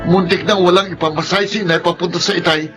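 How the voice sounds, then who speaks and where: Stern young Filipino elder brother. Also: male voice, high-pitched, fairly even intonation, fast talker, a bit bright. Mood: angry, accusing. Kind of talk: Filipino radio drama acting. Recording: music under the voice.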